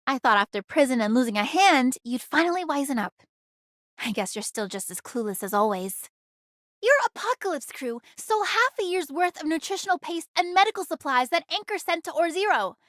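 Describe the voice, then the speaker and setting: A woman's voice: sits high, fairly bright tone, dry recording, clean studio sound. A woman voicing a feisty, indignant young woman in an anime video game, speaking in an emphatic, scolding way.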